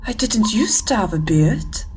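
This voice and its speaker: A woman's voice: mid-range voice, lively intonation, measured pace, darkish tone, faint music behind, natural voice. A woman playing a pompous, befuddled, easily panicked bearded submarine captain in a radio play, grandiose and flustered in manner. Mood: confused.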